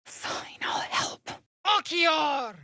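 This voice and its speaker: A woman's voice: high voice, animated, extremely slow, vocal fry, pushed and bright, no room sound, whispered, shouting, studio quality, full character voice. A woman playing a fierce, proud alien warrior in a video game, haughty and clipped, with formal, disdainful phrasing. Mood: hushed, then fierce battle cries. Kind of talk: video game character voice lines.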